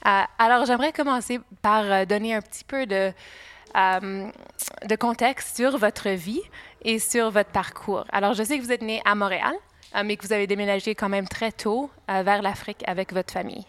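A woman hosting an interview, polite and a little hesitant, with frequent filler pauses as she introduces her guest and asks questions. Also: mid-range voice, fairly even intonation, no room sound.

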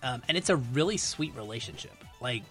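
A man's voice: mid-range voice, animated, a little fast, fairly bright tone, soft background music. A man giving emphatic, opinionated film commentary in a casual podcast conversation.

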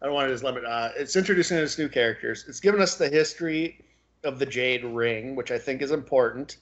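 A man co-hosting a podcast in casual discussion, speaking haltingly as he searches for his words. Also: mid-range voice, fairly bright tone, highs cut off. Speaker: podcast co-host.

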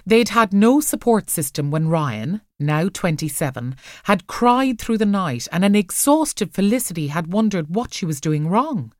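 A woman reading fiction aloud as an audiobook narrator, expressive and measured in her storytelling. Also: lowish voice, no room sound, clean studio sound.

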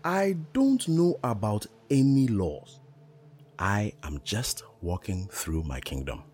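A dreamy wanderer in his own kingdom, played by a man chants poetic lines very slowly. The low voice rises and falls widely over faint ambient noise, as in a radio play. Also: very animated, darkish tone, breathy edge, heavily acted. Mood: dreamy, incantatory. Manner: incantatory, deliberate, repeating phrases. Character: unhurried dreamy male wanderer who calls the land his kingdom.

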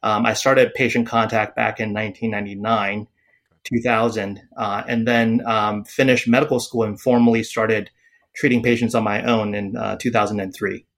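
A man in his forties from Taiwan, a psychiatrist speaking as a podcast guest, pausing often with fillers and restarts. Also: fairly low pitch, flat delivery, fairly bright tone. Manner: hesitant, many fillers and restarts. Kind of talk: podcast interview.

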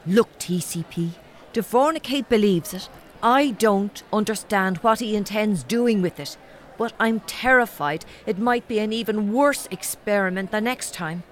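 An actress playing an exasperated, sceptical young Irish woman student, sharp-tongued and incredulous, in a comedy audio drama. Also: mid-range voice, expressive, measured pace, lightly acted. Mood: worried.

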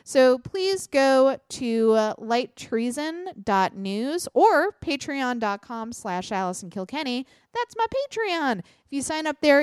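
A woman's voice: fairly high pitch, smooth, no echo, clean studio sound. A woman in her thirties co-hosting a podcast, chatting casually with some swearing. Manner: chatty, profane, laughs at her own slips. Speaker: podcast co-host. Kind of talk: casual chat.

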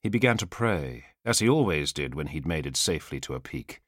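Deep-voiced man narrating a dramatic audiobook. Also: sing-song, fairly bright tone, dry recording, clean studio sound. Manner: measured, solemn, suspenseful delivery with weighted pauses.

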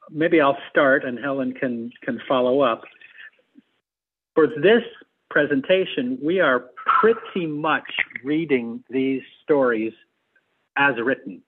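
A man, likely middle-aged, speaking as an interview guest in a measured, hesitant way, picking his words. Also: mid-range voice, husky, phone-quality sound. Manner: hesitant, measured, repeats words while choosing them. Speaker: theatre adapter and actor, guest. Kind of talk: interview conversation.

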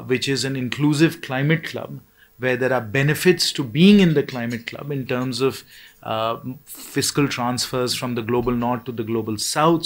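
A man's voice: mid-range voice, vocal fry, fairly bright tone. A man speaking as a panelist in a discussion, formal and policy-minded, with frequent hesitation fillers.

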